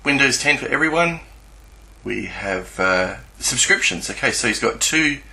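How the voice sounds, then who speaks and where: A man's voice: mid-range voice, animated, unhurried, gravelly, sharp tone, low-quality recording. A man giving an unscripted screen walkthrough, hesitant and often searching for words as he goes. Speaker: YouTuber presenting a screen walkthrough. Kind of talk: unscripted screen-share commentary.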